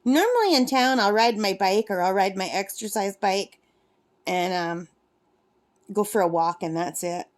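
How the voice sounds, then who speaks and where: A woman's voice: medium pitch, lively intonation, a little slow. A woman in her fifties chatting casually in a rambling, self-correcting way, vlogging from her lakeside cabin while she crafts. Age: 50s. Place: cabin at a lake.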